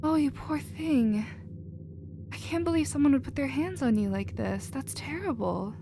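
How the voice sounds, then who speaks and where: Girl doing a caring emotional alien scientist, bit high pitched, asmr roleplay, noisy recording. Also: moderate pace, vocal fry. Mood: tender, concerned. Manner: soft-hearted, earnest, expressive. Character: gentle, emotional, compassionate female alien scientist. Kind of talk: scripted ASMR audio roleplay.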